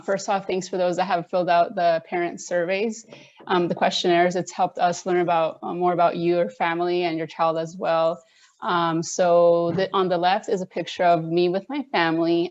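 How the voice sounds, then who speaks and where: A woman's voice: fairly low pitch, level tone, normal speed, dull audio. A woman in her thirties from Oregon, a teacher, giving a friendly, conversational presentation to parents at a virtual open house. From Oregon, United States. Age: 30s. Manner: friendly, conversational, plainly spoken. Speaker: elementary school teacher.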